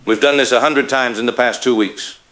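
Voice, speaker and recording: A man playing a shaken veteran Marine colonel, grave and halting, reporting from the field in an apocalyptic radio drama. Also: mid-range voice, steady tone, pushed and bright, a little hiss or hum, lightly acted.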